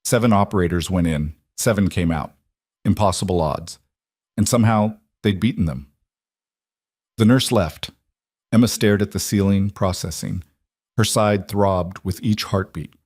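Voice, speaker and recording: Male narrator, lowish voice, dry close sound, steady measured story reading. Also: husky, no echo.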